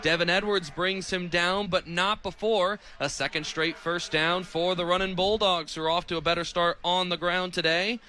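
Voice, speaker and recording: Bright, high-pitched young American play-by-play man. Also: fairly even intonation, moderate pace, few pauses. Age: 20s. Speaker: radio play-by-play announcer. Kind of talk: live American football radio commentary.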